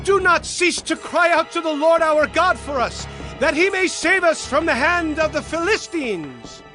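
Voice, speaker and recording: A man's voice: very high-pitched, big pitch swings, measured pace, pushed and bright, soft background music, full character voice. A man playing a fearful, awestruck ancient Israelite villager, imploring and emotive in a dramatized scripture reading. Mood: desperate pleading.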